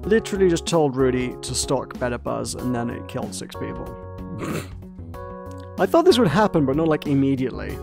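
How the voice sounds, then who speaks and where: A man's voice: mid-range voice, big pitch swings, normal speed, vocal fry, over music. A young man chatting casually while streaming a game, rambling with lots of asides and fillers. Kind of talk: casual gameplay commentary.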